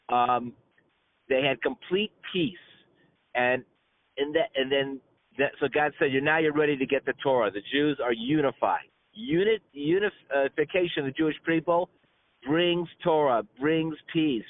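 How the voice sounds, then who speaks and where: A man's voice: on the high side, no echo. A man giving an informal religious class as a rabbi, telling stories conversationally with stammered repetitions and colloquial asides, over a conference call. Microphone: telephone conference call. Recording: tinny, narrow-band phone line.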